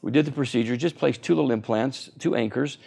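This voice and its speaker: An older man in his sixties speaks with a gravelly, creaky texture. He explains things eagerly, with plenty of fillers and restarts, as a guest in a television studio interview. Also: mid-range voice, fast, darkish tone. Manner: enthusiastic, explanatory, frequent fillers. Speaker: oral surgeon guest.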